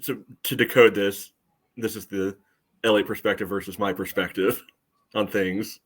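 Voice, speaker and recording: A man co-hosting a soccer podcast, giving casual game analysis in a measured, conversational way. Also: on the low side, fairly even intonation, husky. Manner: measured, frequent "you know" asides. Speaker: soccer fan podcast co-host. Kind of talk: casual sports analysis chat.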